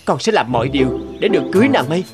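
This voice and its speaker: A man's voice: fairly high pitch, big pitch swings, very fast, loud music behind the voice. A man voicing a devoted, brave young suitor in a folktale cartoon, sincere and stubborn. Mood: respectful, determined. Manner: resolute, sincere, declarative. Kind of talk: dubbed folktale cartoon acting.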